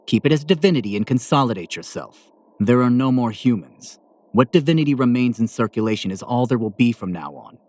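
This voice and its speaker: A man's voice: mid-range voice, lively intonation, a little fast, darkish tone, close to an everyday voice. A man playing a stoic male angel of death in an audio drama, speaking in a serious, formal, controlled way. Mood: stern. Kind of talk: audio drama character acting.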